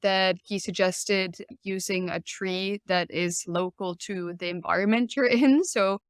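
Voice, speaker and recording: Smooth-voiced woman hosting. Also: mid-range voice, fairly even intonation, a little slow, no echo, clean studio sound. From Finland. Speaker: host. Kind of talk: casual podcast discussion.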